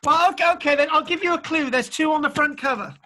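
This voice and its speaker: Bright-voiced chatty male lecturer. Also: extremely high pitch, quick pace, slight background noise. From Britain. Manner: chatty, rambling, jokey. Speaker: archaeology lecturer. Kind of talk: online class lecture and chat. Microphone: video call.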